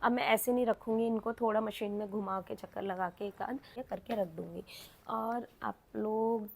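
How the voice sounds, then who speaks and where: A woman's voice: mid-range voice, steady tone, normal speed, vocal fry, a little hiss or hum. A woman talking casually and chattily to her viewers as she vlogs her daily home routine. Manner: chatty, addresses viewers as friends and family.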